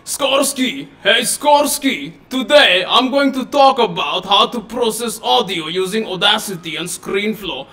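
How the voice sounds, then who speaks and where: A loud, brash fellow in a thick put-on Russian accent, as a man fakes it, barking his intro in a bright, forceful voice. He sounds high and keeps up a blustery shout. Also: lively intonation, unhurried, hardly any pauses, heavily acted.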